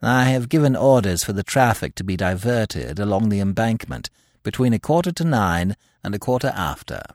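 A man's voice: lowish voice, lively intonation, normal speed, a little mellow, no room sound, studio quality. A man voicing a courteous, anxious police commissioner in an audiobook, formal and businesslike. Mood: concerned.

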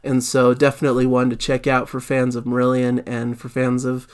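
A man's voice: mid-range voice, completely flat delivery, fairly quick, smooth. Easygoing male music-news host. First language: not Italian. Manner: easygoing, many fillers and restarts. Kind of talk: casual news recap.

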